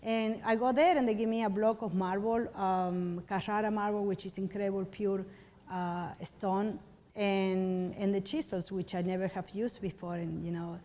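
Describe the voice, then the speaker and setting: A woman sculptor tells stories casually in a halting, word-searching way. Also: mid-range voice, steady tone, mellow, in a large hall. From Argentina. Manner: rambling, halting, searching for words. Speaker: stone sculptor. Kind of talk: casual interview, storytelling.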